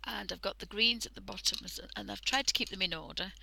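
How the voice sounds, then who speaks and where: Croaky woman with a cold. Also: mid-range voice, fast talker, pushed and bright, slight background noise, low-quality recording. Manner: chatty, thinking aloud, drifting into half-whispers. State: hoarse from a cold. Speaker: art livestream host. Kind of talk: casual drawing tutorial commentary. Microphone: headset.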